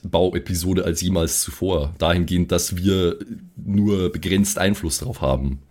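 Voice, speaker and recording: A man's voice: low-pitched, steady tone, normal speed, darkish tone. A man co-hosting a podcast in casual, conversational talk. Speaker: podcast co-host. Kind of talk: casual chat.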